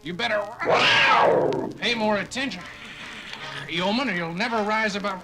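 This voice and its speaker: A crabby ship's doctor in a vintage space adventure record drama. His high male voice is testy, wry and folksy, with music clearly playing behind it. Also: moderate pace. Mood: sarcastic. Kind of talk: 1970s space adventure audio drama acting.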